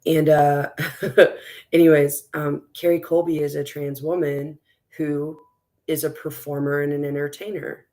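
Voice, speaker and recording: A woman with a raspy, strained voice, a school board candidate talking as a guest in a relaxed interview, rambling with lots of fillers and restarts. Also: low voice, a little slow. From West Texas. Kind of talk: casual conversational interview.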